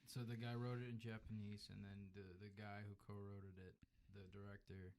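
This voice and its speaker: Young male co-host, low-pitched, dry jokey asides in casual film chat. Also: little pitch movement, moderate pace, darkish tone, slight background noise. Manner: wry, teasing interjections. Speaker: podcast co-host.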